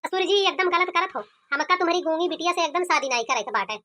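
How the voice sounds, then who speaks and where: A woman's voice: very high voice, fast talker, sharp tone. A woman voicing a complaining, stubborn young village groom in an Awadhi-accented cartoon comedy. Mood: protesting. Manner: complaining, argumentative.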